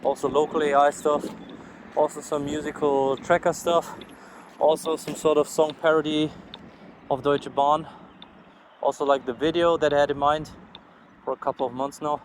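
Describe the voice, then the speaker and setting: Tired german-accented guy rambling on a walk, kinda high voice, swears, down on himself, bit of street noise behind. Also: level tone, moderate pace, long pauses. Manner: frank, swearing, self-deprecating. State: sleep-deprived. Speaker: vlogger. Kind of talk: casual rambling monologue. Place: outdoors, walking along a street. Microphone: phone recording while walking. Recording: outdoor street and traffic noise. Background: ambient outdoor noise with passing vehicles.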